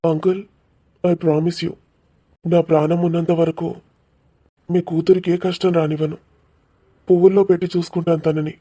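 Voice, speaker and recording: A man's voice: fairly high pitch, little pitch movement, moderate pace, long pauses, mellow, close to an everyday voice. A man voicing an earnest, nervous young man in love in a cartoon love story. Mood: pleading.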